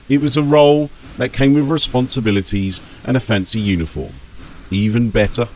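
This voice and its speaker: A man narrating a scripted fantasy audiobook dramatically, with measured, emphatic phrasing. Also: fairly low pitch, slight background noise.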